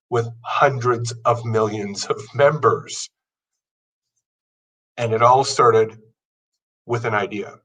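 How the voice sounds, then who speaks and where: A man's voice: mid-range voice, fairly bright tone, husky, recorded from a distance, low-quality recording. A man in his fifties giving an earnest motivational talk, building his points through long lists. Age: 50s. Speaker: podcast host. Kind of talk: motivational monologue.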